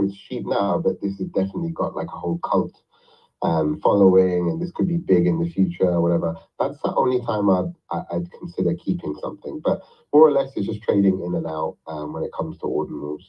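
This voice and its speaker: A man's voice: sits low, a little fast, slightly rough, far from the mic, lo-fi sound. A man chatting casually as co-host, brash and swearing freely, laughing often. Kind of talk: casual chat.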